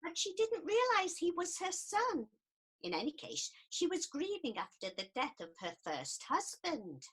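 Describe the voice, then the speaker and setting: An earnest, easily offended counsellor acted by a woman in a radio comedy. Her voice is high and extremely animated, swooping up and down with prim conviction. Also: unhurried, heavily acted. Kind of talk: radio play acting.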